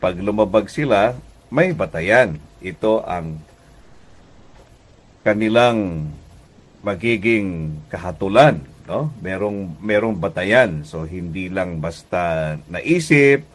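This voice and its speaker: A Filipino man preaching a radio devotional as pastor and host, deliberate in delivery, with pauses and emphatic repetition. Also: low voice, expressive.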